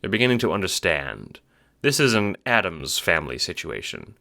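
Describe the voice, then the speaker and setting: Brief: male audiobook narrator, deep and bright-edged, wry, dramatic delivery of a scripted story. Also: very animated, normal speed, creaky.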